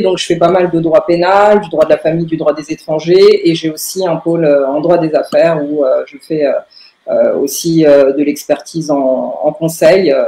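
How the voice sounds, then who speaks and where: A young woman, answering in a podcast interview with halting phrasing and very frequent filled pauses. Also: sits low, mellow. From Paris, France. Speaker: lawyer, interview guest. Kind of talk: podcast interview answers about own career. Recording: roomy, echoing room sound.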